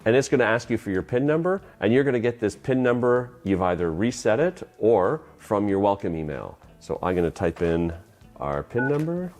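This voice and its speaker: A man's voice: on the low side, very animated, normal speed, darkish tone, soft background music. A man presenting an instructional tutorial, explaining things plainly step by step. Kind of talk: instructional explanation of phone system use.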